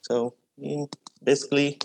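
A man's voice: a little high, flat delivery, measured pace, creaky, a little mellow, slight background noise, low-quality recording. A man, an artist chatting easily as a guest and often adding "yeah". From Ghana. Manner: easygoing, reflective, often adds "yeah". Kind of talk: casual conversation.

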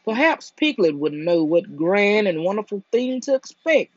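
A storyteller reading a children's book aloud for bedtime in a soothing, deliberate way. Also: mid-range voice, sing-song, dry recording, muffled recording.

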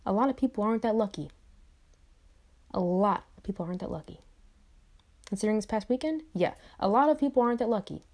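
Woman, young adult, mellow warm tone, reflective, repetitive, chatty motivational vlog. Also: mid-range voice, animated, fast talker, long pauses. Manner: reflective, repeats phrases, frequent "right?" asides. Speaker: vlogger. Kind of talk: motivational monologue to camera.

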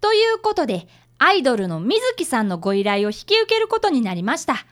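A woman voices an earnest schoolboy apprentice detective. The voice is high and lilts widely. The boy speaks politely and eagerly, in radio drama style. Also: huge pitch swings, brisk. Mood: earnest narrating.

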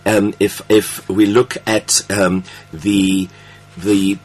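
A man's voice: on the low side, level tone, very slow, poor audio. A man hosting a conversational interview in an effusive, affirming manner. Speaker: interview host.

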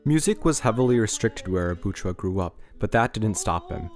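A man narrating a podcast in a measured, scripted reading. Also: on the low side, soft background music. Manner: measured, even read delivery.

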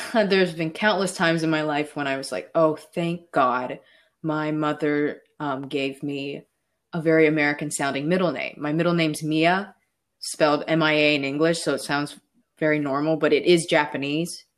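A young woman with a notably low voice talks through her own memories in an easy, unhurried way. She pauses often and fills gaps with small hesitations as she thinks aloud. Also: steady tone. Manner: reflective, personal storytelling with fillers. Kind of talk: casual discussion.

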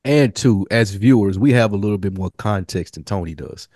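A man with a lowish voice and a dry, close sound banters as a podcast co-host. He speaks casually, in slang, and swears now and then. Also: moderate pace, warm tone, dry recording. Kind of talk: casual TV recap chat.

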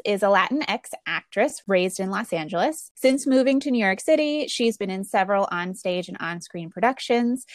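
A woman co-hosting a storytelling podcast, reading the introduction in an even, clear way. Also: medium pitch, no room sound, studio quality. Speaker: podcast co-host.

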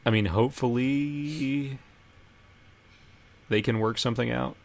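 A man's voice: medium pitch, fairly even intonation, a little slow, gravelly. A man co-hosting a podcast, chatting casually about pop culture. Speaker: podcast co-host.

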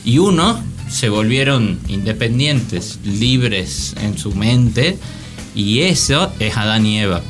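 A man's voice: lowish voice, lively intonation, slow. A man with an Argentine accent chatting casually as co-host of a radio show. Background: guitar music.

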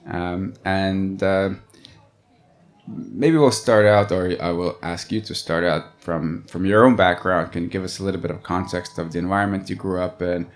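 A man's voice: low voice, steady tone, moderate pace. A man hosting an interview, introducing his guest and asking questions with many hesitations. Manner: hesitant, many fillers and restarts.

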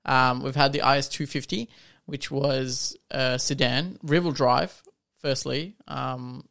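A man's voice: medium pitch, steady tone, takes it slowly, creaky, a bit bright. A man co-hosting a casual car podcast, talking with enthusiasm, often jumping in and laughing. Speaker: podcast co-host. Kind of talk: casual chat.

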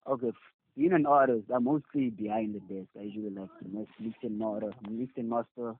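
A man's voice: fairly low pitch, fairly even intonation, fairly quick, slightly rough. A young man from Zimbabwe, a rapper and producer, answering casually in a laid-back, mumbling way and swearing now and then. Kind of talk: casual interview. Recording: tinny phone-like audio.

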